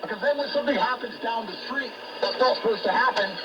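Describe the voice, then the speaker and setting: A man's voice: very high voice, quick pace, muffled recording. A man talking politics as a guest on a talk show, in an emphatic, ranting manner, heard over shortwave radio. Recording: noisy shortwave radio broadcast, distant.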